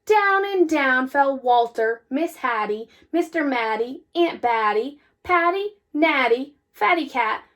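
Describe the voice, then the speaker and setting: A woman reading a children's story aloud with deliberate, expressive phrasing. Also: high-pitched. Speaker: storybook reader. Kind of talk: children's read-aloud, scripted narration.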